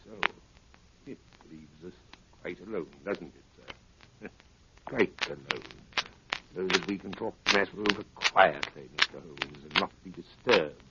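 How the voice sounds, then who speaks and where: An actor playing a cold, menacing criminal mastermind in a 1930s radio drama, with a measured, formal delivery and veiled threats. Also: male voice, low voice. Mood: cold menace. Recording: muffled, noisy 1930s radio recording.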